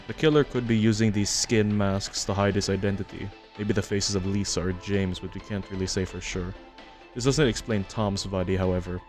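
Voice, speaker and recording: A man with a fairly low voice narrates a horror video essay. His delivery is flat and matter-of-fact, measured like a read script. Soft music plays behind him. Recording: music under the voice-over. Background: background music.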